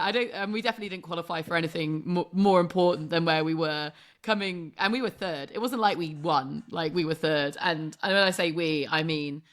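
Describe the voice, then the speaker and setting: A woman's voice: lowish voice, fairly bright tone. A woman in her thirties from England, a sports broadcast journalist chatting casually as a podcast guest, self-deprecating and laughing often, with lots of "like" fillers.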